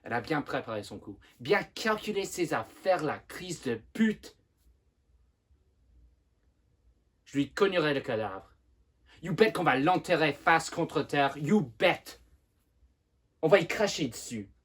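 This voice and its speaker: A man playing an enraged, grieving son in a theatre monologue, shouting and cursing explosively. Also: medium pitch, takes it slowly, husky. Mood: vengeful fury. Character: enraged, grieving adult son, bitter and foul-mouthed.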